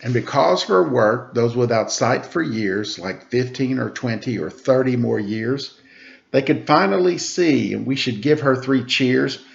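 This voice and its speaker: A man teaching children, reading a rhyming picture book aloud with a steady rhythm, then chatting casually about it. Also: mid-range voice, unhurried, creaky, dull audio. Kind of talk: storybook read-aloud for children.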